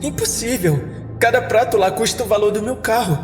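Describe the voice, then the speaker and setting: An astonished young woman with a very high voice, as a male narrator puts her on in falsetto. She sounds thrown by everything around her. Loud background music runs under her. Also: fairly quick, roomy sound, big-room sound, natural voice. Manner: high-pitched, incredulous. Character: startled young woman, stylish date.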